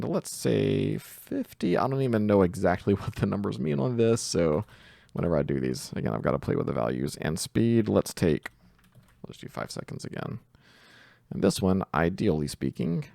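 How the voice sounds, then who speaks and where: Low, gravelly man thinking aloud. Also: darkish tone, dry recording, clean studio sound. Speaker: tutorial presenter. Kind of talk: casual screen-recorded coding tutorial.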